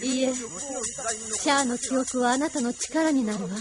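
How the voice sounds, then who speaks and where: A woman's voice: sits high, moderate pace, over music, natural voice. A woman playing an idealistic, solemnly persuasive mentor in a Japanese audio drama, with a didactic, feminine way of speaking. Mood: reassuring.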